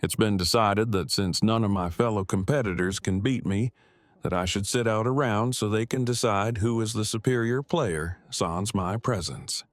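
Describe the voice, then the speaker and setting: A low, dry-recorded voice from a male actor playing an odd brainy man in an audio drama. He is pompous and socially awkward, speaking in stiff, formal, wordy sentences. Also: moderate pace, dry recording, close to an everyday voice. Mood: smug. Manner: stiff, verbose, formal wording. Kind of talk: fan audio drama dialogue.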